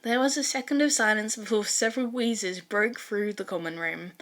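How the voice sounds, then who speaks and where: A woman's voice: medium pitch, normal speed, a bit bright. A woman reading a fan fiction story aloud as scripted narration.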